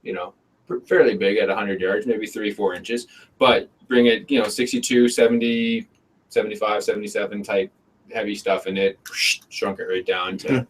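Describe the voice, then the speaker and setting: A man from Canada co-hosting a casual podcast, talking in a relaxed, rambling, joking way in a small room. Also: fairly low pitch, normal speed. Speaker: podcast co-host. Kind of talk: casual chat about shooting. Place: small bedroom, indoors.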